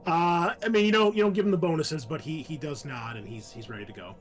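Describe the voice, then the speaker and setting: A man's voice: fairly high pitch, fast talker. Man running a tabletop game over music. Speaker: game master. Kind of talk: casual narrating of a tabletop game. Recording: music playing behind the voice.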